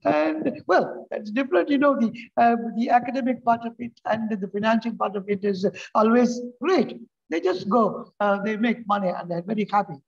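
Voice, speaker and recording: An older man from India, a literature teacher whose first language is Tamil, giving a speech to students in Indian English, halting with many "uh" fillers and restarts. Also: high voice, gravelly, lo-fi sound. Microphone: remote talk, unseen audience.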